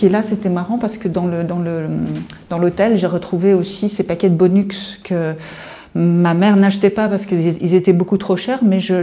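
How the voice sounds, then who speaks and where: A woman in her fifties from France, an artist telling her story in an informal interview in a hesitant, halting, reflective way. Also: lowish voice, dark tone. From France, Paris suburbs. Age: 50s. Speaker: visual artist being interviewed. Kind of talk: informal guided-tour interview.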